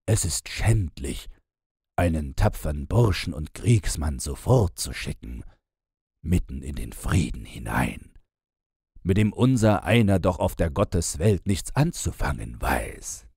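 Man playing a brash, gruff young soldier. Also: rumbling low, sing-song, measured pace, darkish tone, dry recording, studio quality, full character voice. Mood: grumbling. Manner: gruff, boastful. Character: brash, belligerent young discharged soldier, stocky and fearless.

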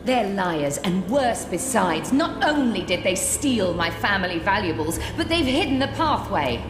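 An actress playing a proud young adventuress in a fantasy game, sardonic, bitter and secretive. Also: female voice, medium pitch, big pitch swings, unhurried. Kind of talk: fantasy game cutscene acting. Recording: echoey hall with music underneath.

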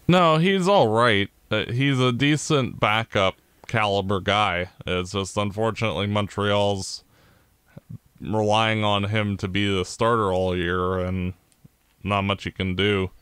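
Canadian male podcast co-host, unhurried analyst, smooth and clear, close dry mic. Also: fairly low pitch, fairly bright tone, no room sound. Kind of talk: casual sports chat.

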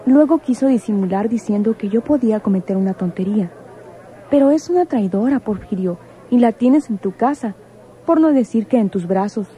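A woman's voice: a little high, lively intonation, normal speed, warm tone, some chatter behind, close to an everyday voice. An actress playing a proud, wary woman in a radio soap, earnest and firm. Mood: accusing. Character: proud, wary, insistent woman warning a man. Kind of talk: Spanish-language radio soap acting.

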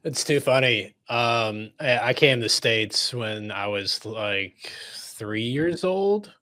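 A man's voice: mid-range voice, animated, slow pace, pushed and bright. A middle-aged man from Egypt hosting an interview show from his home studio, enthusiastic and fond of repeating words for emphasis. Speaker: host and coach. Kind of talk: casual interview over video call. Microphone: studio microphone on arm.

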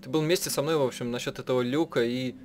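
A man narrating a visual novel playthrough as a live translator, haltingly, rephrasing as he goes. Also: medium pitch, steady tone, soft background music. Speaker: let's-play narrator and translator.

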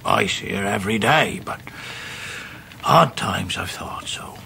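A man's voice: lowish voice, expressive, unhurried, creaky, pushed and bright, slight background noise, heavily acted. An actor playing a hearty, humble old countryman with a rustic English accent and folksy storytelling in a radio drama. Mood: tender, wistful. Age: older. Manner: folksy dialect, chuckling storyteller. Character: hale grey-haired old countryman, hearty, humble and warm. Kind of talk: 1990s radio drama acting.

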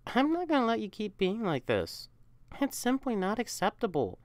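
A woman's voice: a little high, wildly swooping pitch, normal speed, a little mellow, no echo. A young woman voicing an affectionate, teasing, protective catboy boyfriend in an intimate, coaxing ASMR roleplay. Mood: playful, chiding. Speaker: audio roleplay performer.

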